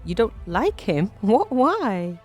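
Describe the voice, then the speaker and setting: Woman acting a coaxing, concerned mother, raised pitch. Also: big pitch swings, takes it slowly, soft background music. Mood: incredulous. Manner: coaxing, questioning. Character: concerned mother of a small boy, coaxing and incredulous. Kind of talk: acted dialogue in a narrated horror story.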